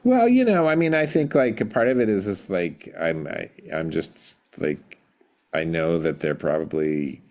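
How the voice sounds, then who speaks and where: Middle aged guy w a super low voice, kinda hesitant, telling stories like a podcast guest. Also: animated, a little mellow. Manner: hesitant, reflective, halting mid-word. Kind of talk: casual interview recollection.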